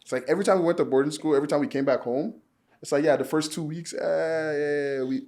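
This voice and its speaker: A young man from Nigeria, a podcast guest, talking in a relaxed, rambling way full of fillers. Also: fairly high pitch, quick pace. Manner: relaxed, rambling, many "like" and "you know" fillers. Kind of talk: casual conversation.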